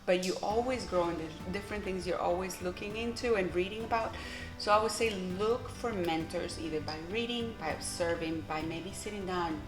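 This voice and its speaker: A middle-aged woman with a Guatemalan Spanish accent speaks in a fairly low voice, earnest and encouraging as she lays out her advice one point at a time. Her words ring in an echoey room, and music plays clearly underneath. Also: normal speed. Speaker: pastor, interview guest. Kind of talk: earnest interview answers.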